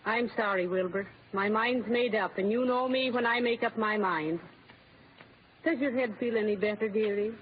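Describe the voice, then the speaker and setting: An actress playing a stubborn, long-suffering middle-aged wife in an old-time radio comedy, plain and resigned. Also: female voice, medium pitch, moderate pace, lightly acted. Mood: hurt, determined, then resigned, tender. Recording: muffled old radio recording, faint noise.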